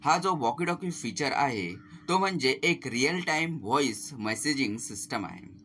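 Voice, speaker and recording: A man's voice: medium pitch, lively intonation, a little slow, a bit bright. A man narrates a step-by-step tech tutorial as a channel host, explaining things to viewers as friends. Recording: clearly noisy voice-over recording.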